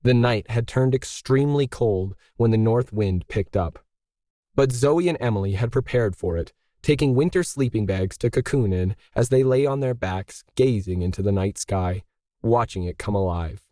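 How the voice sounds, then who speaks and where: A man's voice: medium pitch, moderate pace, dark tone, no echo, studio quality. A man narrating scripted fiction as a storyteller.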